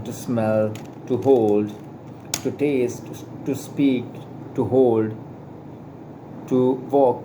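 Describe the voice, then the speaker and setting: A man whose first language is not English, carefully reading aloud from a spiritual book, stumbling and repeating words now and then. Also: medium pitch. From Pakistan. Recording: steady air conditioner hum behind. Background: air conditioning hum.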